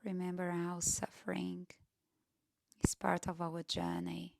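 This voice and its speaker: Woman doing guided meditation, really creaky soft voice, super slow, close dry sound. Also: lowish voice, completely flat delivery, slight background noise.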